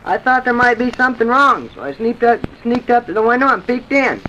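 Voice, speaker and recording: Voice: mid-range voice, lively intonation, brisk, pushed and bright. A performer playing an earnest, respectful twelve-year-old boy in an old-time radio drama, speaking plainly. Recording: dull, noisy vintage radio recording.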